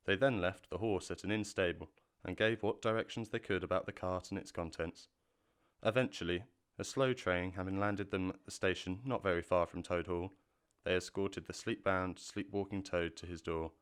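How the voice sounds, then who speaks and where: A man giving scripted storybook narration in a measured, literary way, as narrator of an audio drama. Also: sits low, a bit bright, smooth.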